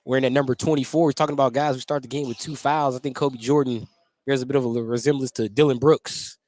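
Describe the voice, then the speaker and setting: A man's voice: mid-range voice, steady tone, quick pace, darkish tone. A man calling a live basketball game on the radio as the play-by-play announcer. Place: at courtside or press position in a gym during a game.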